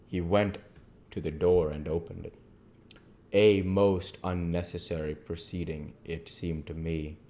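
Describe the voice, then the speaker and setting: A man reading a classic novel aloud as an amateur narrator, measured, with the odd stumble. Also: low-pitched, a little mellow. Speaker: amateur book reader.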